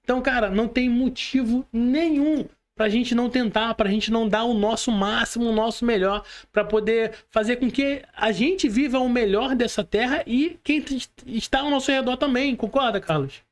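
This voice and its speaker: A man's voice: very high voice, normal speed, hardly any pauses. Brazilian guy on a podcast, earnest pep talk, colloquial, keeps checking you follow. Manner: earnest, exhorting, colloquial with frequent check-in tags.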